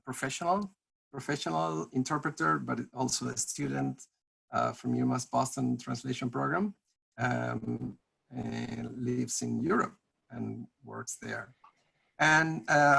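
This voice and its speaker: Organizer kicking off an online panel, super gravelly voice, stops and restarts a lot, lots of ums. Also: mid-range voice, fairly bright tone. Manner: halting, many ums and restarts. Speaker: program organizer introducing a panel. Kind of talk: opening remarks on a video call.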